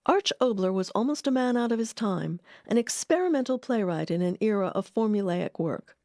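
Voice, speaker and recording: A woman giving measured, articulate scripted narration as a documentary narrator. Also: mid-range voice, lively intonation, warm tone, dry recording, studio quality.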